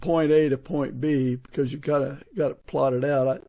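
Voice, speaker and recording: Man, creaky mellow voice, podcast interviewer, casual conversational delivery. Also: medium pitch, fairly even intonation, normal speed, no echo.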